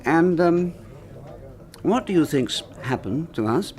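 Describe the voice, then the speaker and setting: An actor with a British accent playing an indignant, sardonic suburban husband in a radio drama. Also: male voice, a little high, expressive, takes it slowly, darkish tone. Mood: sceptical.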